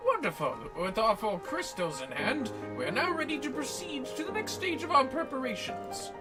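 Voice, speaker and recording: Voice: high voice, sing-song, normal speed, over loud music, pitched above the performer's own voice. Streamer putting on a theatrical, courteous scholarly man, swooping intonation. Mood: earnest. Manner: theatrical, archaic formal diction. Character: courteous, formal scholarly man, an earnest quest giver. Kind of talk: video game dialogue read aloud in character.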